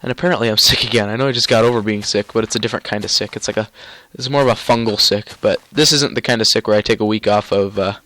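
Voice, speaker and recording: A man's voice: fairly low pitch, steady tone, fast, hardly any pauses, sharp tone. Stuffy-nosed young man narrating gameplay. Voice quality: nasal. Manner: rambling with many ums and restarts. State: stuffy nose from a cold. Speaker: gaming YouTuber. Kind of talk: casual gameplay commentary.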